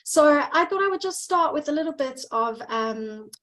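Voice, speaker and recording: South African woman, 30s, dietitian presenting over a video call; earnest, repeats words for weight, slightly high voice. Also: animated, moderate pace, fairly bright tone. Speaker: dietitian giving a webinar. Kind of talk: presentation talk.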